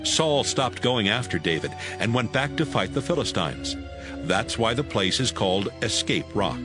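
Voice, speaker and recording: A man's voice: lowish voice, sing-song, normal speed, over music. A man narrating a dramatized audio Bible as a scripted story.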